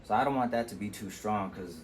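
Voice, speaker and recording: A man with a deep voice, casually walking through how he mixes his own vocals in a relaxed, explanatory way. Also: a little fast, over loud music. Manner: relaxed, explanatory, with fillers like "you know". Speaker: music producer demonstrating vocal mixing. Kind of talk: casual tutorial walkthrough. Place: temporary home studio.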